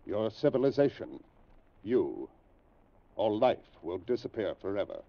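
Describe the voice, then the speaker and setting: Stern alien captain, tinny old radio. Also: male voice, fairly low pitch, big pitch swings, gravelly, exaggerated voice. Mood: grave, instructive. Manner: formal, measured, imperious declarations. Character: stern alien spaceship captain of a galactic guard. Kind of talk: 1950s radio science fiction drama acting.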